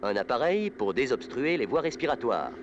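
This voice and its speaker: A man narrating an instructional film in a formal, scripted, measured documentary style. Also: mid-range voice, sing-song, highs cut off, noisy background. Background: music.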